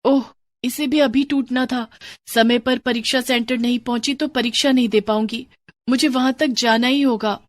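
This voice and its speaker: A woman's voice: fairly high pitch, steady tone, moderate pace, no room sound, studio quality, pitched above the performer's own voice, natural voice. A woman voicing a determined, polite young schoolgirl in a moral story, earnest and resolute. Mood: frustrated, determined. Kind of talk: acted dialogue in a moral story.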